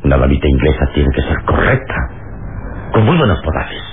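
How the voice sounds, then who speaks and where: An actor playing a commanding adventure hero and mentor in an old radio drama, authoritative and theatrical. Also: male voice, very deep voice, sing-song, brisk, narrow, tinny audio, faint music behind, exaggerated voice. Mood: calm, commanding. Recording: thin vintage radio sound.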